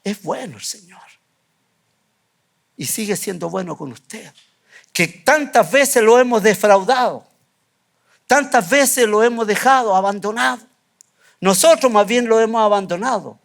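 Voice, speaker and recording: A pastor with a high, light voice delivers a fervent sermon in a church, repeating key phrases and pausing for emphasis. He drops softer and lower at times, then builds again. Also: gravelly. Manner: fervent, repetitive preaching with emphatic pauses. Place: church sanctuary.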